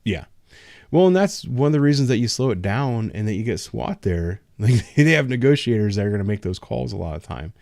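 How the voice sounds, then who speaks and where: A man with a low voice talks loosely and frankly, a patrol officer chatting on a casual livestream. He hedges with ums and restarts his sentences. The sound is dry and close. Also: animated, brisk, gravelly, darkish tone, no room sound. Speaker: patrol officer, show host.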